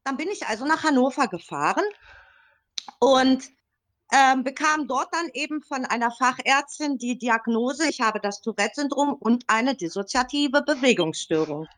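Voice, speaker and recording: A woman's voice: sits high, lively intonation, moderate pace, a bit bright. A woman of fifty-three giving a casual personal account as a podcast guest, explaining things step by step.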